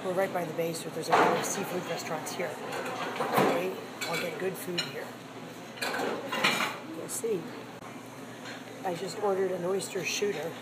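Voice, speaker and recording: A hoarse woman hosting her own food vlog, chatting frankly about her meal while sitting in a seafood restaurant. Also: fairly low pitch. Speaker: food vlogger. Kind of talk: casual restaurant review vlog. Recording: loud background noise.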